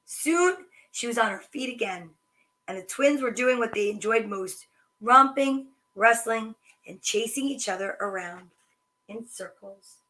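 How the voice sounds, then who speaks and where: Woman, storybook reader, bright voice, slightly high, sing-song delivery. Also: measured pace, poor audio. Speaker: storytime host reading children's books. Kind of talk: reading picture books aloud with chatty asides.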